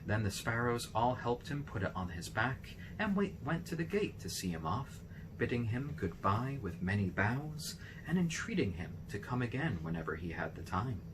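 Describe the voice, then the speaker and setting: A man reading a fairy tale aloud as an expressive narrator. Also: medium pitch, normal speed, noisy background. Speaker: storybook reader.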